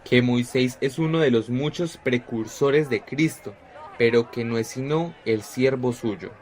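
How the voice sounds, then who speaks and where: A devout young disciple, voiced by a man who speaks with fervent sincerity in a mid-pitched, even voice. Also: unhurried, natural voice.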